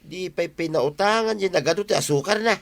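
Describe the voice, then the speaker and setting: A man's voice: sits high, normal speed, fairly bright tone. A man acting a suspicious, stingy villager who grumbles and complains, with an Ilocano accent, in a comedy radio drama. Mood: complaining. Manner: complaining, distrustful.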